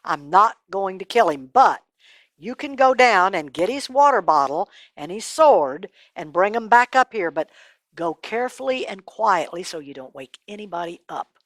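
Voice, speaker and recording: A woman's voice: very high-pitched, animated, normal speed, no room sound, pitched above the performer's own voice. A woman voicing a loyal, shrewd young warrior who speaks earnestly in a children's Bible story. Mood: calm firm.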